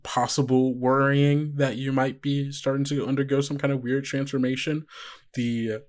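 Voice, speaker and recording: A man's voice: medium pitch, level tone, normal speed, darkish tone. A man running a tabletop horror game, narrating improvised scenes conversationally and often putting questions to his player. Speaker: game master.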